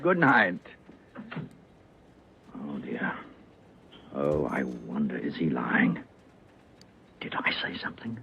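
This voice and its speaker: A man's voice: a bass voice, gravelly, slight background noise, full character voice. A man acting a fussy, secretive, murderous British doctor in an old-time radio drama, hesitant and muttering. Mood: flustered, genial, then anxious muttering. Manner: hesitant, muttering, falsely genial. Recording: dull old radio recording with music.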